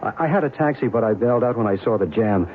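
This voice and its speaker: Guy acting a mysterious all-knowing american in an old radio play, assured, lively melody, tinny recording. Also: on the low side, fast talker, a little hiss or hum, lightly acted. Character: calm, knowing, enigmatic American UFO believer and narrator. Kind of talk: old-time radio drama acting and narration.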